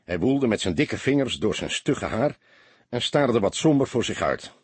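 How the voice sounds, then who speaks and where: A man narrating a detective novel as an audiobook, in a measured, expressive storytelling manner. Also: on the low side, slightly rough, no echo.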